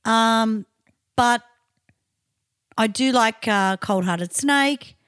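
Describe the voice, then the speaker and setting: An Australian woman co-hosting a podcast, chatting crudely with lots of swearing, in a high, trained singer's voice. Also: extremely slow, fairly bright tone, smooth, dry recording, studio quality. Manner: crude, swearing, outspoken banter. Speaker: podcast co-host. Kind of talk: casual chat.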